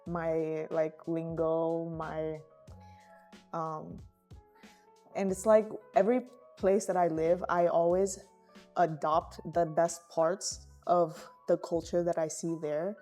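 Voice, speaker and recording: A woman's voice: deep voice, level tone, takes it slowly, dark tone, soft background music. A young woman from Vietnam with a mixed Vietnamese-American English accent, chatting casually and thinking aloud with fillers. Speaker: guest friend. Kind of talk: casual conversation.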